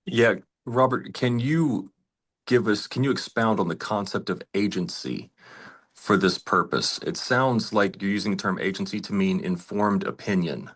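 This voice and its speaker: Man, husky voice, fairly low, discussion participant, questioning. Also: moderate pace, a bit bright.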